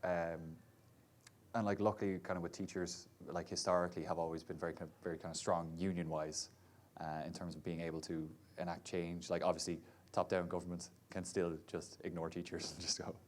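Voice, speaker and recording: A man's voice: low voice, level tone, a little fast. A man, a VR developer, speaking casually on a panel in a chatty way, full of "sort of" and "like". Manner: chatty, many fillers like "sort of" and "like".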